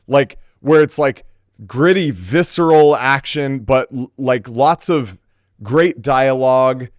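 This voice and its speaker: Male podcast co-host, medium pitch, very dry sound, reflective and careful, conversational delivery with plenty of hedging. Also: slow, dry recording. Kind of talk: casual podcast discussion.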